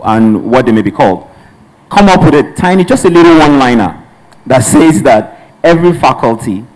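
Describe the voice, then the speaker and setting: A man's voice: mid-range voice, darkish tone, a little hiss or hum, low-quality recording. Nigerian man, conference panelist, earnest and rambling, mid-range voice. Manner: earnest, discursive, with restarts. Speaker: panelist, hub representative.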